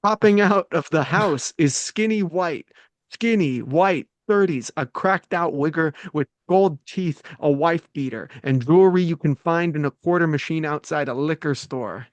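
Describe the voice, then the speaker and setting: A man reading the stage directions of a script at a table read, steady and matter-of-fact. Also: a little high, unhurried, dry recording.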